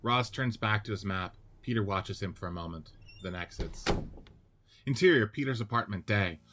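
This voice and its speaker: A man's voice: fairly low pitch, normal speed, background noise. A man giving scripted narration of stage directions and scene headings for a radio-play reading.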